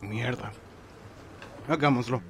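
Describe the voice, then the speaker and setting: A man's voice: medium pitch, takes it slowly, a bit bright, a little hiss or hum. A man dubbing a sullen, awkward sixteen-year-old boy with a Latin American accent, grumpy and reluctant, in an amateur fandub.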